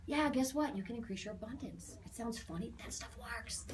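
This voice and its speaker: A woman's voice: fairly high pitch, lively intonation, brisk, a little hiss or hum. A woman in her forties giving an informal spoken reading to clients, chatty and emphatic, repeating key phrases. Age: 40s. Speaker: aura reader.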